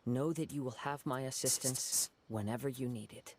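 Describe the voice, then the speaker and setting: A man voicing a calm, poetic young wandering swordsman in a video game, soft-spoken and formal. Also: medium pitch, normal speed, slight background noise, close to an everyday voice.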